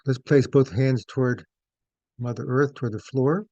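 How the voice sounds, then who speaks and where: A man with a dark, relaxed voice guides a meditation in measured, gentle phrases. He leaves pauses between short instructions. The sound is dry and close to the room-free, though a little dull and lo-fi. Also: mid-range voice, no echo.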